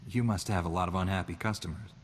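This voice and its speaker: An actor playing a stern knight in a video game, answering with curt, dry comebacks. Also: male voice, low voice, steady tone, fast, in a large hall, a little hiss or hum, natural voice. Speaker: player character in a video game. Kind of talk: video game character dialogue.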